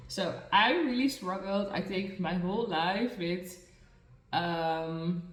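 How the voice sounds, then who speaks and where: A young woman with a Dutch accent chats to her camera while doing her makeup, in a slow, unhurried way. She is hesitant and self-conscious, restarting her sentences and filling the gaps with "um". Her voice carries some echo from the room, and she sits a little away from the mic. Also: mid-range voice. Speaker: beauty and mental health vlogger. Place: room in a new home, indoors. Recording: echoey room, mic far from the speaker.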